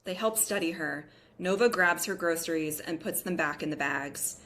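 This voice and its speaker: A woman's voice: lowish voice, moderate pace, a bit bright. A woman narrator reading scripted stage directions at a table read.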